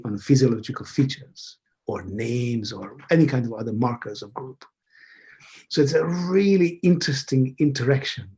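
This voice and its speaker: A man from Hungary, speaking as an academic guest in a halting, musing way that leans on key words, over a video call. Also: medium pitch, mellow, breathy edge. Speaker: academic guest, behavioral scientist. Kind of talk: thoughtful interview conversation.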